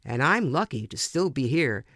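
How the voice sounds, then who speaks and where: A woman's voice: very deep voice, very animated, moderate pace, a bit bright, no echo. Woman storyteller, dry close recording. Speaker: podcast reader. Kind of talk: expressive reading of a first-person story.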